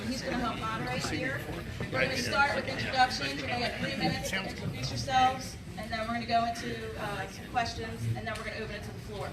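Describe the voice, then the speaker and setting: A woman opens the evening in a chatty, informal way, her voice pitched quite high. She sounds distant and echoey, with a loud crowd around her. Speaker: event organizer and host. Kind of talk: casual event introduction. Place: meeting room with audience. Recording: echoey room audio from afar, loud crowd.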